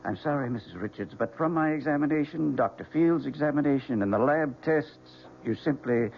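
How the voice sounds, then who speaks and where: A blunt, sober doctor, direct and matter-of-fact. His voice is mid-pitched with a gravelly edge. The old radio drama recording is dull and lo-fi, with faint hiss. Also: brisk. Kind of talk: 1970s radio drama acting.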